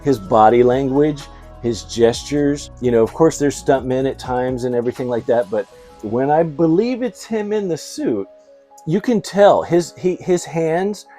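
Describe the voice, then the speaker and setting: A man hosting a movie and toy vlog, talking in a casual, excitable, slangy way. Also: medium pitch, measured pace, soft background music. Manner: excitable, slangy, chuckles often. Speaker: host of a toy and movie review channel. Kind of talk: casual, enthusiastic vlog chat.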